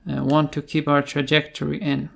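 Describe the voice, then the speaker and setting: A man giving an unscripted tutorial explanation in a halting way, with many filled pauses. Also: a little high, completely flat delivery, creaky. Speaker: programming tutorial presenter.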